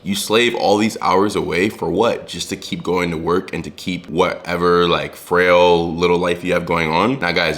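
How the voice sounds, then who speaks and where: A man's voice: deep voice, a bit bright. A man in his twenties talking casually and earnestly to his viewers, often calling them guys. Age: 20s. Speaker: vlogger. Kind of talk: casual direct-to-camera talk. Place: Los Angeles.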